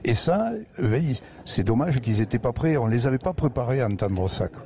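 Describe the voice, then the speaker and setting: A man's voice: medium pitch, expressive, a little fast, dark tone, slight background noise. Man with a Lozère accent. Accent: Lozère, southern France. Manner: rambling anecdotal storyteller. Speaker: guest author, agricultural educator. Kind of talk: live radio interview. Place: live broadcast from a museum.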